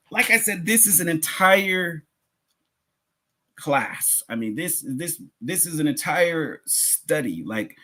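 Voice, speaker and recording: Guy teaching religion class, medium pitch voice, conversational, asks questions then explains it again another way. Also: fairly bright tone, slightly rough. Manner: conversational, rhetorical questions, rephrases points for listeners.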